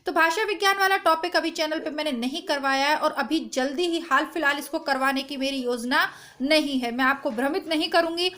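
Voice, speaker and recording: High-pitched woman teacher, voice going hoarse, chatty and direct, recorded at home on a device mic. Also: brisk, pushed and bright. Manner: chatty, reassuring, addresses students directly. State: hoarse, voice strained. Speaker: Hindi exam-prep teacher. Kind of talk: informal lesson to camera. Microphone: no proper mic, phone or device built-in.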